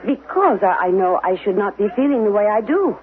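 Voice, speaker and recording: An actress playing an anxious mother in a regimented future society, speaking haltingly and stiffly formally in a radio drama. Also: mid-range voice, sing-song, phone-quality sound, slight background noise, close to an everyday voice. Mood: uneasy. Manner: halting, repeats words, stiffly formal without contractions. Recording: band-limited 1970s radio broadcast.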